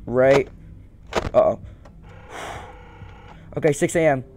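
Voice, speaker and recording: A man's voice: mid-range voice, takes it slowly, noisy background. A young man giving casual game commentary, nervously muttering and repeating his words over and over. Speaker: gaming video host. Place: indoor room at home.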